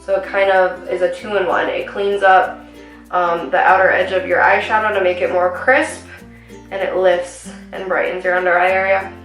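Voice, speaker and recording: A young woman with a slightly raspy voice talks her way through a makeup routine, rambling and filling the pauses with ums. She sits a little back from the camera mic in a small home room, so her voice carries a roomy echo, with faint music underneath. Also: lowish voice, little pitch movement, fairly bright tone, far from the mic. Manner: chatty, rambling with many ums. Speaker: beauty vlogger. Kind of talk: casual makeup tutorial.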